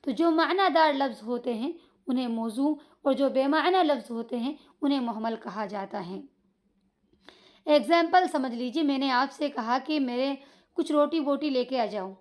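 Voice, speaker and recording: A woman's voice: sits high, normal speed. A woman teaching children Arabic grammar, explaining patiently and checking they follow. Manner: patient, repeats points with checking questions. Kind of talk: online grammar lesson for children.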